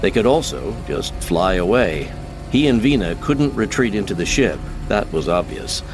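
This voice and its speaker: Low-voiced man narrating over music. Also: very animated, normal speed. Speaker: audiobook narrator. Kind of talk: scripted dramatic narration of sci-fi fiction.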